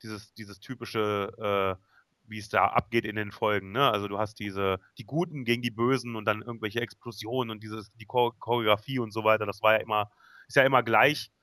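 Bright-voiced man chatting on a podcast. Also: on the low side, fairly even intonation, a little fast, no echo, studio quality. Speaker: podcast host. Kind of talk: casual podcast chat.

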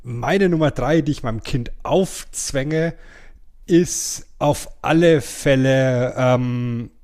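A man's voice: mid-range voice, takes it slowly, a little mellow. A middle-aged man co-hosting a podcast, chatting casually with a wry, self-deprecating manner. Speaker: podcast co-host. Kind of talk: casual chat.

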